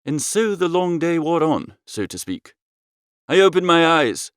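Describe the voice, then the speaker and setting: A man narrating a comic first-person literary story as an audiobook, in a droll, expressive storytelling manner. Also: on the high side, unhurried, clean tone, no echo, clean studio sound.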